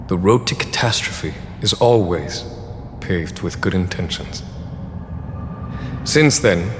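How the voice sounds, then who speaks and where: A deep-voiced shrewd general. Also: male voice, a bit bright, slight background noise. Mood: measured. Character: calm, shrewd male general, middle-aged. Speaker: military general in a game cutscene. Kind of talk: voiced game dialogue. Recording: reverberant game mix with music. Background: orchestral game music.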